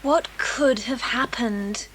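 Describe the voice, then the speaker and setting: An actress playing an anxious, questioning child in a children's audio drama. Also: female voice, on the high side, slow, a bit bright, slight background noise, poor audio. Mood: worried, pondering.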